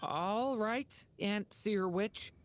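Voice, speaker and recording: An actress voicing a gentle, polite little boy in a video game, soft and a little hesitant. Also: mid-range voice, drawn-out words. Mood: earnest, unsure.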